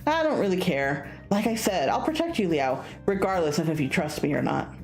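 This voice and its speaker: Woman playing a breezy, protective young senior guy, animated. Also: on the low side, brisk, reverberant, faint music behind. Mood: devoted, teasing.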